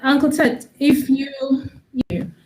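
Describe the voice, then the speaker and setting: A woman campaign lead answers questions in a studio interview. She speaks earnestly, stopping and restarting now and then. Her voice sits a little high. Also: fairly even intonation, slow, a little mellow, rough recording.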